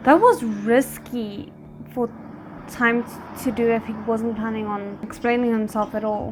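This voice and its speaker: A woman's voice: on the high side, steady tone, normal speed, over music, low-quality recording. A woman hosting a reaction video, talking casually and expressively, with hesitant phrasing that trails into asides. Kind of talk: casual reaction commentary to camera.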